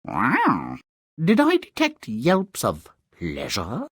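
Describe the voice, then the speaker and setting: A man voicing a sly, flattering trickster cat servant for a fairy tale video game, with oily mock politeness and purring insinuation. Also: medium pitch, takes it slowly, breathy edge, dry recording, clean studio sound, heavily acted. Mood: teasing, flattering.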